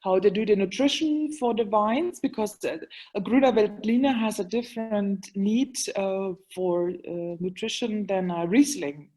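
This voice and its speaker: A woman's voice: medium pitch, a little slow, warm tone. Woman talking english in an interview, lots of ums, hunting for words. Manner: hesitant, many fillers, searching for words. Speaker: guest, wine expert. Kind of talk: casual interview talk.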